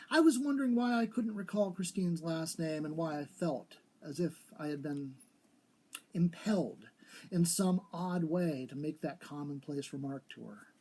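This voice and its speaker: A man giving a live, expressive reading of an old literary ghost story, with a husky voice. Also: fairly high pitch, rough recording. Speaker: story reader.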